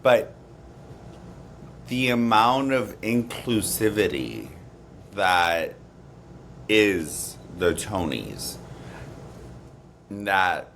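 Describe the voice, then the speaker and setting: A man's voice: fairly low pitch, sing-song, very slow, vocal fry, long pauses, a bit bright, a little hiss or hum. A man co-hosting a podcast in casual chat, with an emphatic way of talking. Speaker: podcast co-host.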